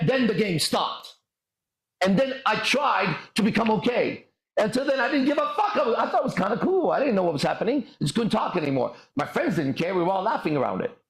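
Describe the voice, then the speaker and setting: A man from Iran hosting a livestream podcast, telling stories in an emphatic, profane way with drawn-out pauses. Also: high voice, echoey room. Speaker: podcast host. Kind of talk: livestream motivational storytelling.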